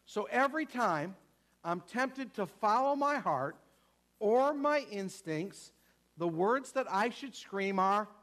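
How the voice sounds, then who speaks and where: High-voiced man preaching emphatically. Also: animated, slow pace, a bit bright. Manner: emphatic, repetitive, asks the congregation questions. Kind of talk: sermon.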